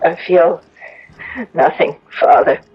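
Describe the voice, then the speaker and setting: An actress playing a frail young bride possessed by a vengeful spirit, in drawn-out, anguished old-time radio horror style. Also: female voice, on the low side, steady tone, breathy edge. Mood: hollow, weary. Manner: drawn-out, anguished delivery swinging between weak and wild. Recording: noisy, dull old radio broadcast.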